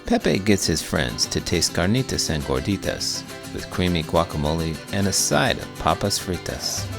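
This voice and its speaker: A man doing an expressive, scripted read-aloud of a children's book. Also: sits low, normal speed, creaky, over music. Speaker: teacher reading children's books aloud.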